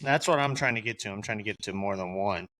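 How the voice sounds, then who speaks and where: A man's voice: lowish voice, quick pace, fairly bright tone, no echo. A young adult man co-hosting a podcast in casual chat, teasing and joking with boastful banter. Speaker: podcast co-host.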